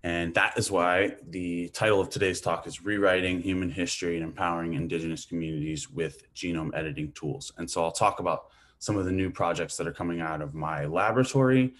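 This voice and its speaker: A man's voice: deep voice, brisk, fairly bright tone. A man from Hawaii giving an academic lecture over a video call, talking loosely with fillers like "like" and "um". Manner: casual, thinking aloud with fillers. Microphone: video call webcam mic.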